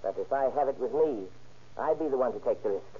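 An actor playing a cautious, self-assured foreign drug dealer in a 1930s radio drama, speaking in clipped, formal phrases. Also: male voice, mid-range voice, animated, fast, mellow, husky. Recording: muffled old-time radio recording.

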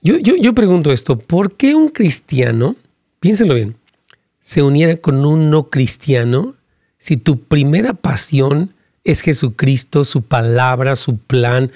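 A male pastor preaching and praying during a live broadcast. He prays in fervent, rhythmic lines with emphatic repetitions, and his pitch climbs as he argues a point. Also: measured pace, no room sound, clean studio sound. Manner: animated, rising pitch when arguing a point. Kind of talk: live-streamed sermon and prayer with Q&A.

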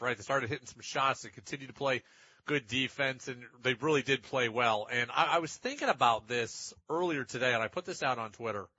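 A man's voice: mid-range voice, bright, forceful tone, slightly rough, dry recording, lo-fi sound. A man hosting a sports talk radio show, giving emphatic commentary and repeating phrases for stress.

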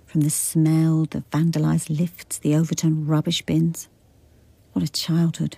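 Low, husky English woman detective. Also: monotone, unhurried, dark tone, no echo, full character voice. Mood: reflective, firm. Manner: reflective, plain-spoken. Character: frank, resolute working-class-raised female detective inspector. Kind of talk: radio drama, intimate first-person monologue and dialogue.

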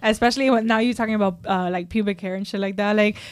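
A woman's voice: mid-range voice, steady tone, fast talker, dry recording. A woman in her twenties co-hosting a podcast, chatting frankly and laughing as she asks questions. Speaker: podcast co-host. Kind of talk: casual chat.

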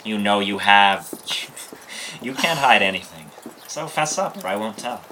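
Casting: woman doing a mischievous romantic lad, low for a female voice, very lively swings, period audio drama. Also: unhurried, full character voice, poor audio. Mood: teasing. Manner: wheedling, mischievous. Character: teasing, excitable, romantic teenage boy. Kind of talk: period audio play acting. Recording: noticeable background noise and clicks.

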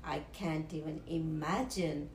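A woman, giving a halting personal testimony as a guest, with many pauses and ums. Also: deep voice, darkish tone, a little hiss or hum. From Punjab, India. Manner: halting, with frequent ums and restarts. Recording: echoey room.

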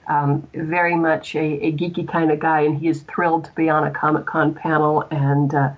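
A woman chatting casually as an interview guest, with halting phrasing and repeated words. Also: deep voice, flat delivery, muffled recording. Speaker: guest, audio drama producer.

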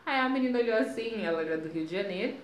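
A woman's voice: on the high side, lively intonation, racing. Chatty young woman, roomy sound. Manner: storytelling, acts out quoted voices. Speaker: podcast co-host. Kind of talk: casual chat.

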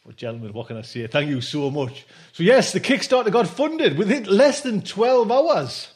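High-pitched man, chatty podcast host. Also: big pitch swings, moderate pace. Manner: chatty and informal, full of conversational tag phrases. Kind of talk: casual, rambling podcast chat.

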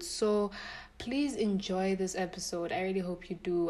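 A woman's voice: on the low side, a little mellow. Young woman musing aloud as podcast host. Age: young adult. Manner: thinks aloud, many rhetorical questions. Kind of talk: casual podcast monologue.

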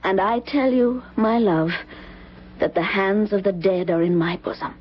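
An actress playing a tormented young woman burdened by a dark heritage, with solemn, melodramatic phrasing in old-time radio style. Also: medium pitch, unhurried, a little hiss or hum, natural voice. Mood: haunted, intense. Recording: dull vintage radio broadcast recording.

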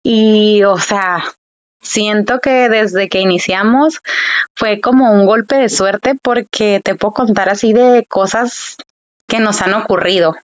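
A woman's voice: medium pitch, takes it slowly, muffled recording. A woman, a small business owner telling her story in a casual interview, chatty and full of fillers. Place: Tijuana.

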